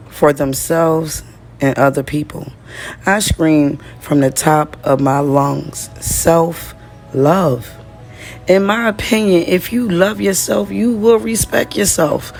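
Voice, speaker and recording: A woman from the United States with a raspy, slightly hoarse voice, talking straight to her viewers in a casual diary-style monologue. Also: on the low side, a little mellow. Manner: chatty, direct, addresses viewers as y'all. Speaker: vlogger.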